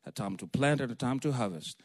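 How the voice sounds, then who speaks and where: A man's voice: mid-range voice, fairly quick. Man preaching a sermon. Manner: lively, joking, storytelling, calls for amen.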